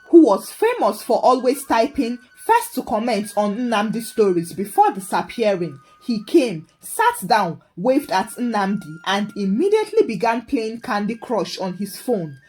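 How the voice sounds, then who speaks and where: A woman with a Nigerian accent telling a folktale as scripted narration in a dramatic, expressive way. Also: medium pitch. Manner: dramatic, animated storytelling. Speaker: storyteller.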